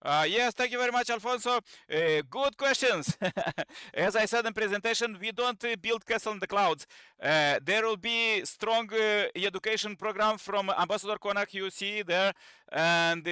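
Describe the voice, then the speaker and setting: A man speaking non-native English, hosting an informal online meeting with halting, broken phrasing. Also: sits high, sharp tone, clean tone, dry recording. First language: not English. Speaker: presenter and host of an online peace training. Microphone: video call microphone.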